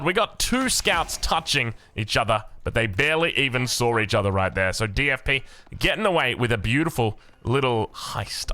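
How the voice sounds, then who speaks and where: A young Australian man casting a video game match, energetic and excitable, his voice a little hoarse from a long day of commentary. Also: dry recording. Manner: excitable, energetic, big pitch swings. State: slightly hoarse. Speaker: game caster.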